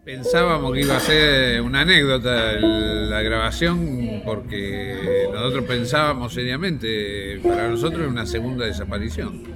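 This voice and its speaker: A man with an Argentine accent giving spontaneous, halting testimony as an interviewee. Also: medium pitch, over loud music. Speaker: interviewee, relative recounting events.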